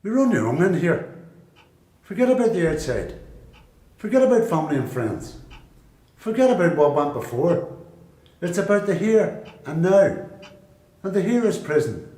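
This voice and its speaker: A man's voice: sits high, very animated, normal speed, pauses often, warm tone. A man playing a hard, blunt prisoner who lays down rules emphatically in a radio play. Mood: blunt, commanding. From Belfast, Northern Ireland. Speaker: amateur performer in a radio play. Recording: echoey room.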